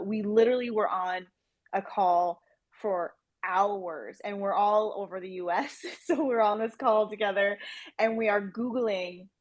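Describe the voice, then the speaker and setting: A woman's voice: medium pitch, dry recording. Female interview guest, mid-range voice, dry sound. Chatty explainer, casual promotional talk. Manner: chatty, explanatory, peppers speech with "like". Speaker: interview guest, project team member.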